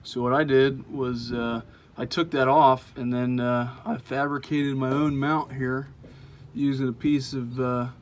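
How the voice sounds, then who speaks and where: A man's voice: mid-range voice, unhurried, slight background noise. A man giving a casual, folksy how-to walkthrough of a truck install outdoors, with frequent "uh" fillers. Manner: relaxed, lots of "uh" fillers, folksy. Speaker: truck owner showing an install.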